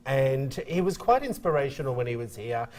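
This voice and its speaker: A man's voice: medium pitch, sing-song, a little fast, darkish tone. A man hosting a morning TV show, chatting casually and teasing himself with easy laughs. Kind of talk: casual studio chat.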